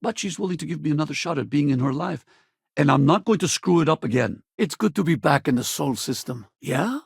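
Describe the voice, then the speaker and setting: Gravelly, rugged space pilot. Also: male voice, fairly high pitch, expressive, brisk, no room sound, studio quality, heavily acted. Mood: regretful. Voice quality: gravelly, husky. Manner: casual, blunt, plain-spoken. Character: rugged, wry space pilot and regretful father. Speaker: video game companion character. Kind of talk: scripted game dialogue and combat barks.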